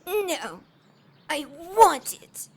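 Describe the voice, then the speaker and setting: A woman's voice: extremely high pitch, big pitch swings, drawn-out words, full character voice. An actress playing a sinister old lady in an audio drama, sweetly polite with menace underneath. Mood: coldly demanding.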